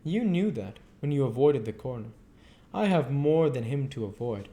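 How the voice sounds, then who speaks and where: A man voicing an elderly foreign professor, speaking in earnest, halting phrases at a raised pitch. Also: very animated, dark tone, lightly acted.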